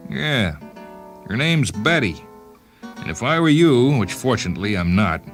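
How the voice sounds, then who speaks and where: A man's voice: medium pitch, big pitch swings, measured pace, fairly bright tone, soft background music, pitched above the performer's own voice. A man voicing a mocking, streetwise 1930s New York informer with slangy tough-guy talk in a radio story. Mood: mocking, taunting.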